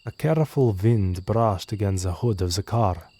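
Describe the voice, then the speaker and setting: A man's voice: lowish voice, animated, moderate pace, warm tone. A man reading a scripted first-person horror story as narrator.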